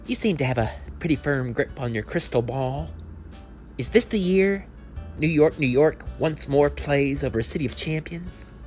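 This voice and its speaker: A man's voice: fairly high pitch, expressive, moderate pace, darkish tone, soft background music, close to an everyday voice. A man voicing a tipsy, nervous and eager basketball fan in an audio play, hesitant, stammering and incredulous. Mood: eager, anxious.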